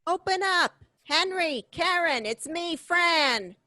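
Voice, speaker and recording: A woman's voice: extremely high pitch, lively intonation, slow, pushed and bright, no echo, studio quality, full character voice. A woman playing an anxious, distraught wife in an amateur radio play table read. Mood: frantic.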